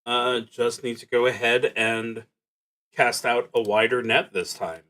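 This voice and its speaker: Slow-paced man thinking aloud through a game. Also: medium pitch, level tone, bright, forceful tone. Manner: halting, reflective, working through moves aloud. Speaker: streamer playing a solo tabletop roleplaying game. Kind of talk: live solo game stream, thinking aloud.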